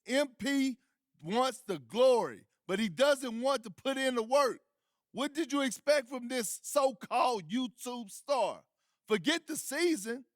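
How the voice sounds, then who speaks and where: High-voiced scornful sports pundit. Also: male voice, animated, measured pace, dry recording. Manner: emphatic, dismissive. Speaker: sports debate show pundit. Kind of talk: opinionated sports TV commentary.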